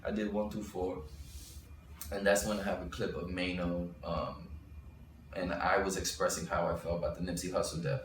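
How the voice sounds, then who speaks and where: Deep-voiced young New man, rambling. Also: steady tone, moderate pace. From Bushwick, Brooklyn, New York. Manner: rambling, restarts, thinking aloud. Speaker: rapper and interview guest. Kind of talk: casual interview talk. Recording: roomy sound from a distant mic with faint noise.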